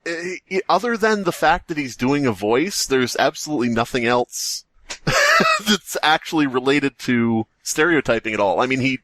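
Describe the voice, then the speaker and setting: A man's voice: medium pitch, huge pitch swings, normal speed, sharp tone, no room sound. A man co-hosting a podcast, chatting casually as a rambling, sardonic storyteller who likes to joke. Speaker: podcast co-host. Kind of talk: casual comedic podcast chat.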